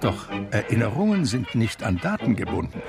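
An actor playing a wistful blind pianist who narrates his life in a radio drama, measured and reflective, with a gravelly voice. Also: fairly low pitch, very animated, over loud music. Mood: nostalgic. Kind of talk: literary first-person radio drama narration.

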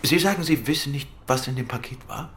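An actor playing a composed, dryly witty gentleman detective in a German radio crime drama, polite and probing. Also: medium pitch, brisk, background noise, natural voice. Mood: probing, calm.

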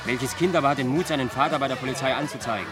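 An actor playing a condescending, pedantic young know-it-all who lectures in a German radio play. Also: male voice, medium pitch, racing, lightly acted. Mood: lecturing. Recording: music behind the voice.

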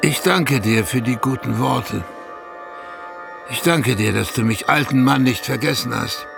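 An old man of the radio play, worn and wounded. His voice is heavily creaky and takes its time, halting as he reflects. Music plays clearly underneath. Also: medium pitch. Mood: moved, grateful. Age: older. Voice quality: gravelly. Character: weary old Kyrgyz man, humble and wounded. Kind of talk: radio drama acting.

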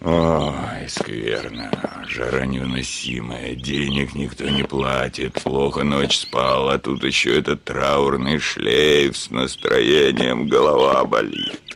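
An actor playing an irascible, blustering landowner in a classic comedy radio play, exclaiming and grumbling with theatrical exasperation. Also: male voice, a bass voice, fairly even intonation, takes it slowly, gravelly, few pauses, background noise, full character voice. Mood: weary grumbling. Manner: theatrical grumbling, exclaiming, swinging from muttering to bellowing.

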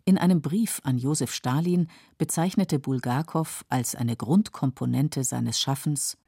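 A woman narrating a scripted literary documentary in a measured, explanatory way, with a husky, creaky voice. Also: very deep voice, dark tone, no room sound, studio quality. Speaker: narrator of literary radio feature.

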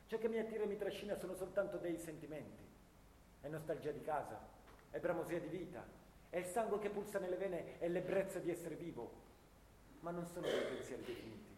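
An actor playing a grieving, war-weary young soldier in a solemn, measured theatrical monologue. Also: male voice, fairly high pitch, a little mellow, lightly acted. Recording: echoey stage hall, faint noise.

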